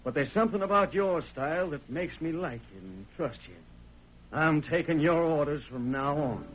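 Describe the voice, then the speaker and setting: A man plays an earnest fugitive of the Old West in a radio drama. The voice runs a little high. The delivery is plain-spoken and folksy. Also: animated, normal speed, lightly acted, poor audio. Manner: folksy western speech, earnest. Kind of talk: old-time radio western acting.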